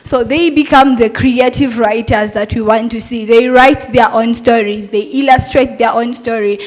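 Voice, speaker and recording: Woman, young adult, Montessori teacher, summit talk, earnest, persuasive, rhetorical questions, fairly high voice. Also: steady tone, moderate pace, soft background music.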